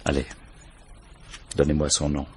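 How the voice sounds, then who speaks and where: Very deep-voiced, patient police commissioner. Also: male voice, completely flat delivery, a little hiss or hum. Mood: insistent, confident. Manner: coaxing, unhurried interrogator. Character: patient, insistent, weary male police commissioner. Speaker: actor in a radio mystery drama. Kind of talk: French radio crime drama acting.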